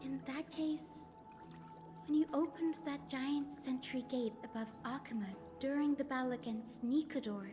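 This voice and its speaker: A woman voicing a gentle, polite young healer in a video game, with a soft, earnest delivery. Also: high-pitched, fairly even intonation, moderate pace, long reverb tail, over music, natural voice.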